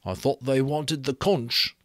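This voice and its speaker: A man's voice: mid-range voice, fairly even intonation, a little slow, fairly bright tone, no room sound, studio quality, pitched above the performer's own voice, close to an everyday voice. A man voicing a distressed schoolboy in a book reading, plaintive and anxious. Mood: anxious, helpless. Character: distressed, helpless schoolboy robbed after a fight.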